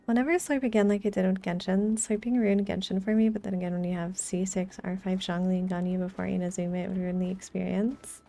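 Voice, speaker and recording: A woman's voice: mid-range voice, fairly even intonation, quick pace, hardly any pauses, dark tone. A woman streaming a video game, chatting casually with her viewers as she plays. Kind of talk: casual chat with viewers during gameplay.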